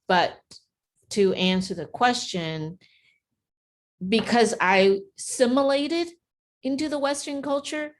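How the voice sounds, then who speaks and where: A middle-aged woman, a parent giving earnest, chatty interview answers with many "you know"s. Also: mid-range voice. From grew up in America, Vietnamese heritage.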